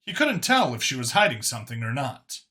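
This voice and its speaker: A man's voice: mid-range voice, very animated, brisk, pushed and bright. A man giving a scripted story narration as an audiobook narrator.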